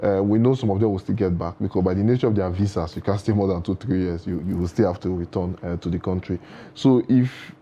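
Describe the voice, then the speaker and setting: A man with a low, mellow voice gives earnest advice full of proverbs, often restarting his sentences. The sound is dull and lo-fi, like a TV broadcast. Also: quick pace, hardly any pauses. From Nigeria. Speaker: guest. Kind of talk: studio interview.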